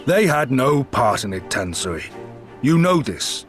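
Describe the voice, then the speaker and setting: An actor playing a weary, grave pirate leader in a video game, solemn and deliberate with weighty pauses. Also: male voice, medium pitch, very animated. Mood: grim, bitter. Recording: background music.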